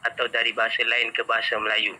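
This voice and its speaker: Very bright, sharp-voiced Malaysian man. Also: mid-range voice, completely flat delivery, quick pace, poor audio. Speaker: interviewer and host. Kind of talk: casual interview talk.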